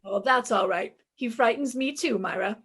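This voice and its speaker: Woman playing a sassy, higher-voiced lady detective. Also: normal speed, fairly bright tone, breathy edge. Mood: polite, breezy. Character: breezy, wry female private detective. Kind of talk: 1950s-style radio play acting and narration.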